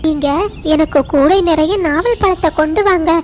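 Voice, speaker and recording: A wily little female sparrow from a children's cartoon, voiced by a woman. The voice is very high and small, with a cheerful, scheming manner. Faint noise sits behind it. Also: moderate pace, natural voice. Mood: feigned forgetfulness. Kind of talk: children's cartoon story acting.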